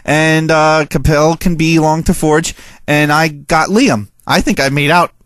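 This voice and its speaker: A man's voice: on the high side, fairly even intonation, a little slow, a bit bright, dry recording, studio quality. A man hosting a radio show in casual chat, teasing and mocking. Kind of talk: casual chat, reacting to listener voicemails.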